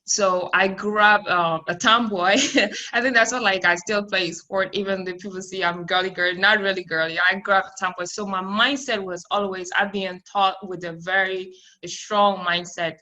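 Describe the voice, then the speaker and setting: A woman from South Sudan, whose first language is Amharic, with a bright, clear voice at a middle pitch. She talks loosely, circling back and restarting her sentences, and breaks into laughs between phrases. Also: steady tone, moderate pace, low-quality recording. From South Sudan, raised in Ethiopia. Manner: rambling, with many fillers and restarts. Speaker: podcast guest. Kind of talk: casual interview answers.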